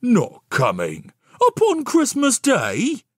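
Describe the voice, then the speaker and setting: Guy doing a fond gentle victorian dad, voice leaping up high, storybook. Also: wildly swooping pitch, takes it slowly, dry recording, clean studio sound, exaggerated voice. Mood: surprised. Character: gentle, tender Victorian father, earnest and fond.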